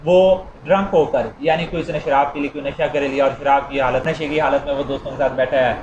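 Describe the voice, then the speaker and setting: A man's voice: mid-range voice, fairly even intonation, a little fast, lo-fi sound. A man gives an informal explanatory lecture, repeating points conversationally and illustrating them with everyday examples. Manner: repetitive, conversational teaching with acted-out everyday examples. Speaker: law lecturer. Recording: echoey room with clear background noise.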